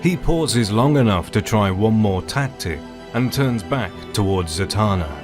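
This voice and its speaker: A man's voice: on the low side, animated, measured pace, music in the background. A man giving scripted narration for an audio drama as its narrator.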